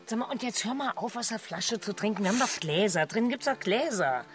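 An actress plays a no-nonsense, exasperated wife in a radio drama. Her voice sits at a middle pitch, and she talks briskly and insistently, in a matter-of-fact, scolding way. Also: lively intonation, faint music behind, lightly acted. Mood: annoyed. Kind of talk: naturalistic German radio drama acting.

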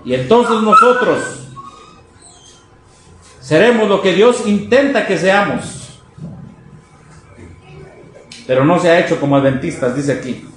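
A man with a high-pitched voice delivers a fervent sermon. He exhorts his listeners, throws out rhetorical questions and acts out their objections. The sound is heavily echoing, with some noise behind him. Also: takes it slowly, pauses often. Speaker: preacher. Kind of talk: fervent sermon to a congregation, reading quotes and commenting. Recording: echoey room, noisy background.

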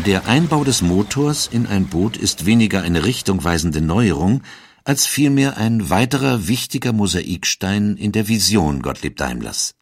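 A male narrator with a deep voice reads a scripted documentary. His delivery is measured and storytelling, recorded dry and close in a studio. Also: animated, slightly rough, dry recording. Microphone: studio microphone.

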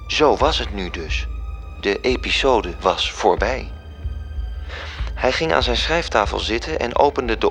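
Male radio-play narrator: low voice, formal, measured literary delivery, music underneath. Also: fairly bright tone. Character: male storyteller reporting human affairs to his superior.

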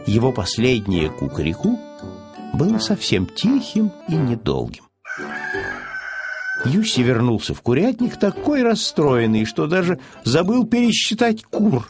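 A man's voice: mid-range voice, wildly swooping pitch, a little slow, music in the background. A man reading a children's story audiobook as its narrator.

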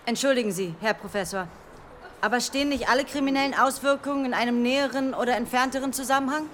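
An actress playing a bold, sardonic young student who speaks up quickly and pointedly in a radio drama. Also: female voice, high-pitched, a bit bright. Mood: challenging, sardonic. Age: young adult. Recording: lecture room with faint crowd, noise.

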